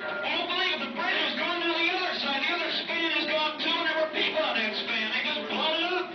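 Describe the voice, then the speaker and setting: A man's voice: very high voice, quick pace, far from the mic. A man playing a panicked on-the-scene news reporter in an ad-libbed radio drama, breathless and stammering. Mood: horrified, frantic. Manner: breathless, stammering, repeating himself. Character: panicked male field reporter witnessing a military disaster. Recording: noisy muffled archive radio played in echoey hall.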